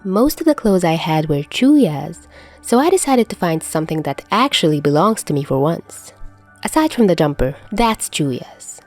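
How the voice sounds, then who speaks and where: A woman's voice: fairly low pitch, big pitch swings, moderate pace, soft background music. A woman playing a teasing, nonchalant young man in a story, with a playfully dramatic manner.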